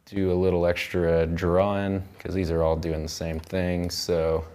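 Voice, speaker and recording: A man's voice: deep voice, flat delivery, unhurried, mellow. A man teaching music production in an informal tutorial, rambling loosely and thinking aloud as he works. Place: indoor recording studio.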